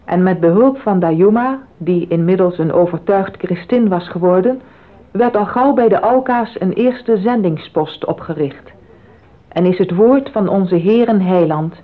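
Woman narrator, tinny old radio sound. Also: lowish voice. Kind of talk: scripted solemn documentary drama narration.

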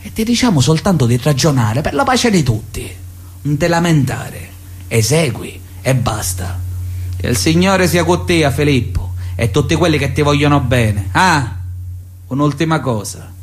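An actor playing a pious, paternalistic Sicilian mafia elder, solemnly sermonizing with veiled menace in a radio drama letter. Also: male voice, fairly high pitch, animated, close to an everyday voice. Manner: solemn, sermonizing, measured insistence. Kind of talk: theatrical radio drama monologue, letter read aloud.

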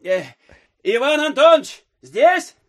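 A man's voice: extremely high pitch, expressive, takes it slowly, exaggerated voice. An actor playing a genial, obliging, fussy provincial court chairman in an audio drama. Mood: obliging, bustling. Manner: affable, eager, exclamatory. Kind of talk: theatrical audio drama acting.

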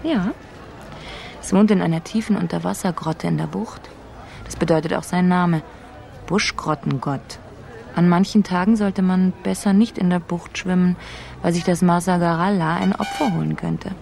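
A woman's voice: on the low side, steady tone, normal speed, gravelly, a little mellow. An actress playing a wry, curious woman traveller in a radio drama, with a dry, teasing manner. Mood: teasing, storytelling.

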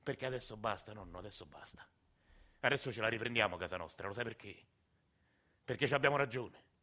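An actor in his forties playing a working-class Roman father who tells his story in a quiet, wry, confiding way, with a Roman accent. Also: lowish voice, fast. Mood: quiet, firm. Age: 40s. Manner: soft-spoken, rambling, wry confiding storyteller. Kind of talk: radio drama monologue.

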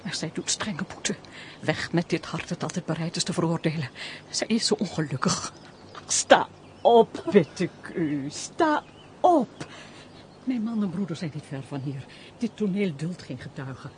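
A woman acting a lively, compassionate countess in a Dutch radio play, with formal, expressive, theatrical speech. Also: lowish voice, a little slow. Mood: shocked, compassionate. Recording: noisy old radio play recording.

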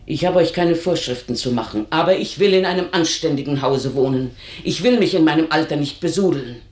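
An actress in a German radio drama playing a blunt, knowing old housemaid who admonishes insistently. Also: female voice, low voice, lively intonation, brisk, darkish tone. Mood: stern, defiant. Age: older. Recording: roomy, echoing radio drama recording.

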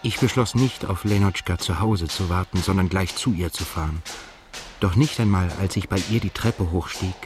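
Brooding male narrator played by an actor for literary radio drama, low register, measured and melancholy, music underneath. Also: lightly acted. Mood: somber, heavy. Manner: measured, introspective, scripted. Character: brooding, melancholy male journalist telling his story. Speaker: narrator and protagonist.

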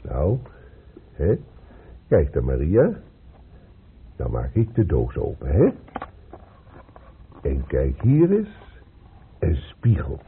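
A man's voice: very deep voice, wildly swooping pitch, slow pace, long pauses, a little hiss or hum, exaggerated voice. A man acting the part of a blunt, impatient, worried older father in a radio drama. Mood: coaxing. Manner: blunt, impatient, plain-spoken. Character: gruff, worried older father of a hospital patient. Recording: muffled phone-like old radio recording.

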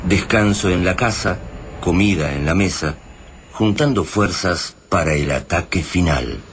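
A solemn Argentine man narrates a sci-fi radio play in a very low voice. His delivery is dramatic and literary, over clearly noisy ambience. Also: measured pace. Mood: solemn, suspenseful.

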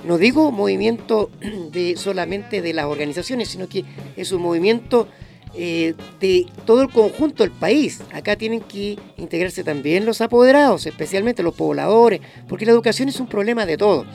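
A man's voice: sits high, expressive, normal speed, vocal fry, darkish tone, faint music behind. A man in his fifties, a radio guest speaking earnestly with many hesitations. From Chile. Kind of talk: radio discussion.

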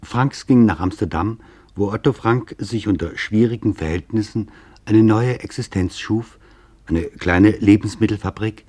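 A husky man's voice, a little low, delivers scripted documentary narration. He is solemn and formal, shaping literary sentences deliberately as a radio presenter. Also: mellow.

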